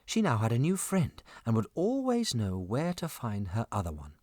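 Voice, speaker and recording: A narrator telling a children's folktale in an expressive storybook style, with a husky voice. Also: mid-range voice, normal speed, no room sound, clean studio sound.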